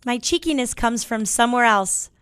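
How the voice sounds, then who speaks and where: An actress playing a cheeky grown daughter in an audio drama. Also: female voice, on the high side, moderate pace, fairly bright tone, smooth, dry recording, lightly acted. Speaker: actor.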